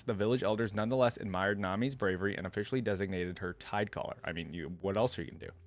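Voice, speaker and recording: A man's voice: lowish voice, no echo. A man hosting a reaction video, switching between casual, think-aloud commentary and reading text aloud.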